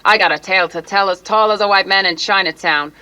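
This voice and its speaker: Woman playing a brassy, bright-voiced gossip reporter. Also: medium pitch, quick pace, close to an everyday voice, low-quality recording. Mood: smug, insistent. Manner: sassy, slangy, taunting. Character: sharp-tongued, brassy female gossip reporter, unflappable. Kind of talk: 1950s radio detective pastiche acting.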